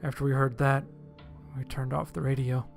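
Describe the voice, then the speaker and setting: A man's voice: medium pitch, little pitch movement, moderate pace, creaky. Male horror narrator, mid-range voice, dramatic first-person storytelling, music underneath.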